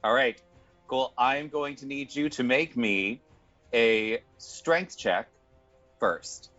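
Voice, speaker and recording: A man runs a tabletop game in a medium-pitched voice, narrating on the fly and laughing often. The audio is a little muffled, with faint music underneath. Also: slow pace, fairly bright tone. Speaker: game master.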